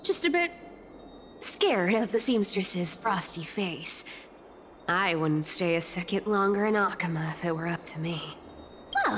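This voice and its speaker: An actress playing a sly, carefree young woman thief in a video game, with a teasing, sing-song, breezy delivery. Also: medium pitch. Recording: background music.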